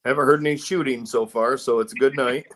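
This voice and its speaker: A man's voice: mid-range voice, fairly even intonation, fast, fairly bright tone, low-quality recording. A man co-hosting a podcast from a hotel room, trading wisecracks in casual banter. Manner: wisecracking, teasing. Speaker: podcast co-host.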